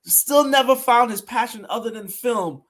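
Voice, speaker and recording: Male podcast host, high and lively, tells stories with emphatic repeats. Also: moderate pace. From Brooklyn, New York. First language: Haitian Creole. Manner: animated storytelling, emphatic repeats. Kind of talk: conversational interview.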